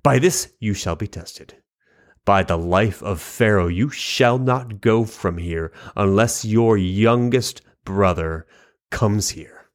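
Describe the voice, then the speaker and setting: A man reading the lines of a stern, powerful ruler who tests strangers, in a measured, commanding way. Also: on the low side.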